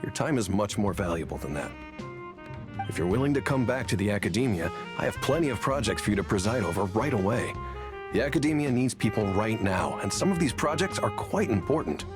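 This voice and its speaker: A man's voice: fairly low pitch, very animated, fairly quick, music in the background. An actor voicing a persuasive middle-aged male professor. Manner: coaxing, smooth talker.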